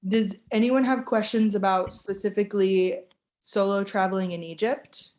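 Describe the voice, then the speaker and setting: A woman's voice: medium pitch, steady tone, moderate pace, darkish tone, low-quality recording. An American woman from Florida, hosting a live online Q&A over a video call in a chatty, informal way and reading out listener questions. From United States (Florida). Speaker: host, tour ambassador.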